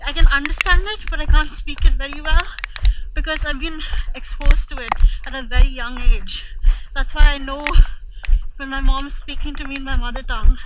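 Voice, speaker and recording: A woman's voice: high-pitched, fairly even intonation, brisk. A young woman from India, chatting casually as an interviewee and filling her sentences with "like". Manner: chatty, lots of "like" fillers. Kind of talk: casual conversation. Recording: loud background noise.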